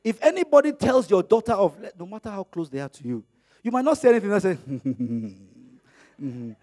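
A middle-aged man whose first language is Yoruba, preaching a sermon in an animated way, acting out little dialogues as he goes. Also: moderate pace. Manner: animated, acts out dialogues with rising pitch and volume.

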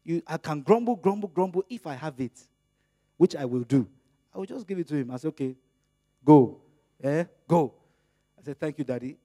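A middle-aged man whose first language is Yoruba, preaching a sermon in an animated way, acting out little dialogues as he goes. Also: normal speed, pauses often. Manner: animated, acts out dialogues with rising pitch and volume.

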